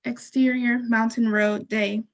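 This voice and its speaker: High, even-toned woman narrator. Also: a little slow, dull audio. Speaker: narrator reading stage directions. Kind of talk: scripted narration.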